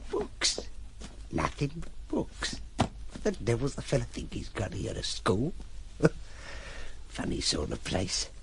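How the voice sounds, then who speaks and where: An actor in an audio drama playing a cunning, menacing ruffian henchman with rough, slangy, muttering speech that drops into whispers. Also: medium pitch, huge pitch swings, slow pace, pauses often, noisy background, full character voice. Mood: furtive. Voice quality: gravelly. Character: cunning, menacing cockney-style ruffian henchman, excitable and wheedling.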